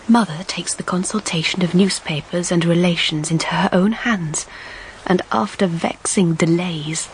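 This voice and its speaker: A woman's voice: lowish voice, a little hiss or hum, close to an everyday voice, poor audio. An actress narrating as a reflective young Victorian woman in an audio drama, with a composed, dryly wry delivery. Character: reflective Victorian young woman telling her own story. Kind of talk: first-person scripted narration, audio drama.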